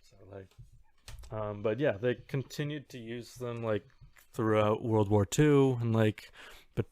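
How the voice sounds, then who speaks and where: A man co-hosting a podcast, explaining things in an informal, halting way. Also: on the low side, darkish tone, clear, resonant tone. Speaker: podcast co-host. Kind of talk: casual explanatory podcast chat.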